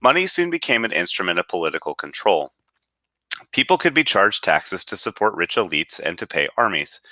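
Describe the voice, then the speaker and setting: A man's voice: low-pitched, big pitch swings, brisk, bright, forceful tone, no echo, clean studio sound. A man reading an article aloud with careful enunciation. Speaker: reader.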